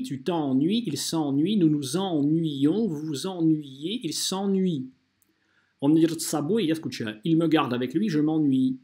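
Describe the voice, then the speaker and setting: A man's voice: a little high, mellow. A man giving a language lesson as a teacher, explaining didactically and carefully modelling pronunciation. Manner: didactic, drawing out model phrases syllable by syllable. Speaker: French teacher. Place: voice-over on a screen recording.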